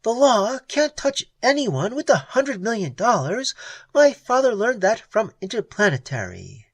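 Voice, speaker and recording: A reader doing a fierce young woman pirate captain, higher and louder than the narration, with a lively swinging pitch. She speaks in a blazing, defiant, sarcastic manner, in a husky storybook voice. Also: a little slow, no room sound, exaggerated voice. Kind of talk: audiobook dialogue.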